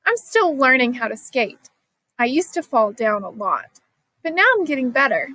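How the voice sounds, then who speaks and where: Female reader as cheerful, confident young girl for a children's storybook, higher register. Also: big pitch swings, moderate pace, pushed and bright, dry recording, exaggerated voice, low-quality recording. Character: Kai, upbeat girl learning to skate.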